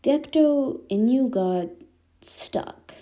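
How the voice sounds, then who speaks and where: Woman voicing a cheeky, mellow teenage boy. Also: sits high, animated, takes it slowly, voice pushed up. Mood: explaining, teasing. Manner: casual, irreverent, candid. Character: cheeky, candid teenage boy with ghostly powers.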